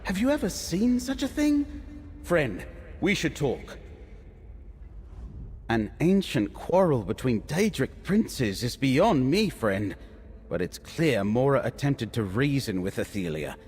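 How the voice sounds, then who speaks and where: An actor playing an earnest, thoughtful scholarly ally in a fantasy game, measured and confiding. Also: male voice, medium pitch, very animated, darkish tone, slight background noise. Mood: awed, pondering. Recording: reverberant space, faint music behind.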